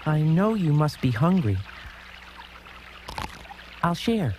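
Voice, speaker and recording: A woman's voice: low voice, big pitch swings, slow, mellow, faint music behind, full character voice. A woman voicing an innocent, trusting young farm boy in a dubbed cartoon, earnest and easily amazed. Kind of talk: dubbed cartoon fairy-tale acting.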